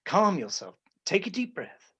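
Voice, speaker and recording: A man acts as an earnest father in a theatrical play. He soothes and explains anxiously, his pitch moving in wide, lively swings. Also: a little slow, slightly rough, lo-fi sound, full character voice. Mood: soothing, anxious.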